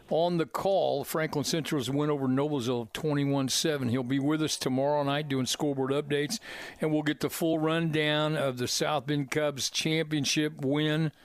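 A man hosting a call-in radio sports talk show, upbeat and energetic. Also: fairly high pitch, dry recording. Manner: energetic, upbeat, rising on welcomes.

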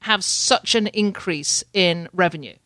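A woman's voice: fairly low pitch, a little slow, sharp tone, dry recording. A woman hosting a casual interview, talking in a chatty way with frequent repeated words and light laughs. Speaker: podcast host. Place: home in Canada.

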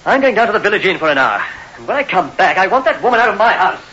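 A scornful, bad-tempered husband rattles out indignant scolding in a high, swooping, forceful voice. It has the dull sound of an old radio play. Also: male voice, very animated, very fast, very noisy. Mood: angry, scornful. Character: irritable, scornful husband who despises fortune telling. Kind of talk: 1960s radio drama acting.

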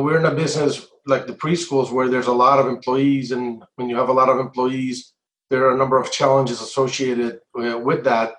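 A middle-aged man from Colombia giving measured, halting answers in an interview, pausing often as he explains. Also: medium pitch, slight background noise. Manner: halting, measured, frequent hesitations. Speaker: interview guest, entrepreneur. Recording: echoey, roomy sound.

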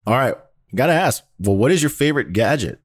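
A man's voice: mid-range voice, lively intonation, a little fast, slightly rough, no room sound, clean studio sound. A man hosting an interview podcast, talking casually and upbeat, laughing often. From Washington D.C. area. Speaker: podcast host.

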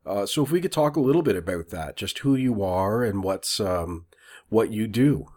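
A low-pitched man hosts a talk radio show. He reads his introduction, then questions his guest haltingly, with plenty of ums and polite apologies. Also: expressive, darkish tone. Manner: hesitant, apologetic questioning with many fillers. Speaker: podcast host. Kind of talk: radio interview.

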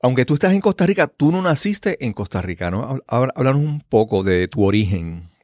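A man's voice: medium pitch, sing-song, brisk, a little mellow, no echo, studio quality. A man hosting a podcast, introducing and interviewing in a conversational way. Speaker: podcast host.

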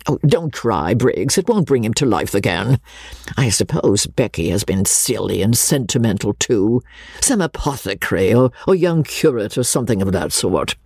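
A woman's voice: a bass voice, very animated, moderate pace, darkish tone, husky, dry recording, studio quality, voice pushed down, heavily acted. A woman reading a storybook as an imperious, sentimental rich old lady, insistent, nosy and gushing. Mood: brisk, bossy.

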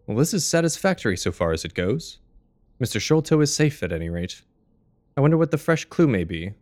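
A man acting a loyal, earnest gentleman in an audio drama, with a measured, formal delivery. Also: fairly low pitch.

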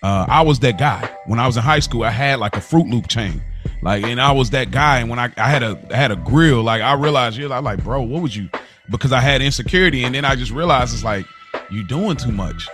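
A man co-hosting a podcast, giving opinions in a slangy, earnest way, thinking out loud as he goes. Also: mid-range voice, expressive, fairly quick, faint music behind. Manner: slangy, earnest, mulling aloud. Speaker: podcast co-host. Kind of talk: casual opinion chat.

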